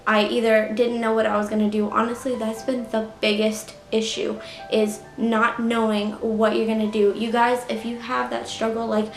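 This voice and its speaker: A woman's voice: fairly high pitch, flat delivery, normal speed, soft background music. A woman in her late twenties talking casually to her viewers, full of "like" and "you know". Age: 20s. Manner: chatty, heavy use of "like" and "you know". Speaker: YouTuber. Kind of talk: casual storytime vlog. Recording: echoey room.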